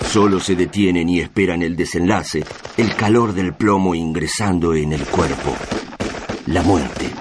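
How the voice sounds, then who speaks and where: A man narrating a radio drama in a solemn, measured, literary manner. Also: deep voice, noisy background. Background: music.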